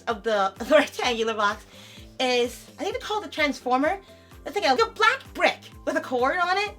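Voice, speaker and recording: A young woman chats through an unboxing with giggly, bubbly enthusiasm. Her voice sits high and sounds bright and lively, with a touch of rasp from a sore throat. Soft music plays faintly underneath. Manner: bubbly, giggly, calls viewers "lovelies". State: sore throat, slightly raspy. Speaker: vlogger. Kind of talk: casual unboxing vlog.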